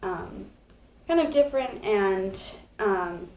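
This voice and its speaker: A woman's voice: medium pitch, expressive, slow pace, a little mellow, slight background noise. A woman actress with an airy, light voice, chatting casually and giggling on a live stream from a living room couch. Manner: giggly, chatty, rambling with fillers. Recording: roomy living room echo.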